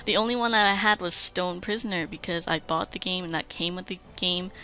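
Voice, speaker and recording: A woman's voice: mid-range voice, sharp tone. A woman hosting a let's play with casual, enthusiastic, chatty commentary. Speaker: gaming host.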